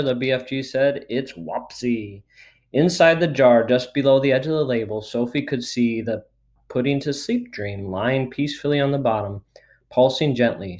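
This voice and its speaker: A man's voice: mid-range voice, darkish tone. A man narrating a children's storybook, reading it aloud. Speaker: storybook reader. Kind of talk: scripted narration, children's book read aloud.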